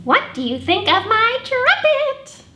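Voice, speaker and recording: A woman voicing an excitable, eager cartoon pig in a picture-book read-aloud, exclamatory and insistent. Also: very high-pitched, slow pace, voice pushed up, heavily acted. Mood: eager, impatient. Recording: echoey room with background noise.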